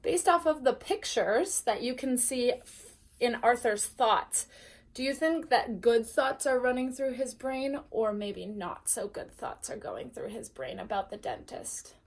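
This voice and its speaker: High-voiced woman reading a storybook to children. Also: unhurried, vocal fry. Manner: expressive, addressing young listeners directly. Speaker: teacher reading a bedtime story to first graders. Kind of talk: storybook read-aloud with questions to children.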